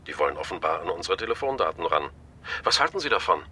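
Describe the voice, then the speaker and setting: An actor playing a shrewd media boss in a radio drama, polite but pointed, wry and authoritative. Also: male voice, low voice, very animated, fast, sharp tone, slightly rough, heavily acted. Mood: pointed, businesslike. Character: brisk, shrewd male media boss, wryly amused, decisive.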